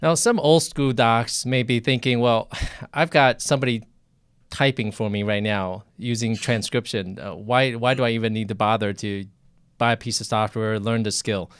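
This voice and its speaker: A middle-aged man hosting a live interview, his voice smooth and resonant at an ordinary pitch. He talks in a thoughtful, conversational way and often repeats himself. The sound is dry and close, with no room around it. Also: fairly even intonation, moderate pace, no echo.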